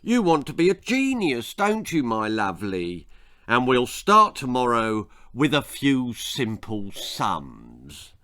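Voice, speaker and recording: Vain, blustering middle-aged father for a children's tale, played by a male reader: self-important, highly animated delivery. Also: slow, heavily acted. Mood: pompous. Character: pompous, vain, foolish forty-year-old father.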